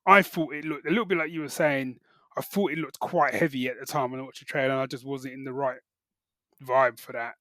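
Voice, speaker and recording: A middle-aged British man chatting casually as a podcast co-host, halting and correcting himself as he goes. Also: medium pitch, steady tone, fairly bright tone, no room sound, clean studio sound. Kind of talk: casual chat, geek reviews.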